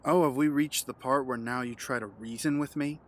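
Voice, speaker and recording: An actor playing a calm, condescending, menacing villain mastermind in an audio drama, measured and taunting. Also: male voice, medium pitch, a little hiss or hum, lightly acted. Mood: coolly confident.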